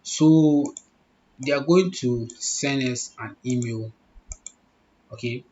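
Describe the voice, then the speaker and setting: A man's voice: darkish tone, a little hiss or hum. Man walking through steps, muffled. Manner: repetitive, instructive, checks in with the listener. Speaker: online tutorial host. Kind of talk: screen-recorded walkthrough. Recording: muffled low-fidelity audio.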